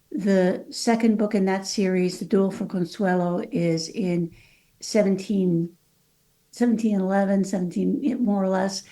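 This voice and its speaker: A woman's voice: medium pitch, dark tone. A woman in her fifties who grew up in Mexico City, speaking with a Californian accent, chatting as a guest author in an interview with lots of fillers. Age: 50s. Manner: chatty, many fillers, voices her own past thoughts. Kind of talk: casual interview talk.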